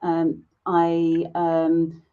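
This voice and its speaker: A woman speaking as a panelist on a video call, measured and deliberate, pausing often. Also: low-pitched, completely flat delivery, phone-quality sound. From England. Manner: measured and deliberate, many pauses with "um".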